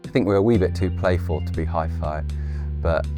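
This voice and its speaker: A man's voice: sits low, fairly even intonation, brisk, over music. A man, talking casually and loosely about designing and building speakers, playful and with occasional swearing. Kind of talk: casual interview talk.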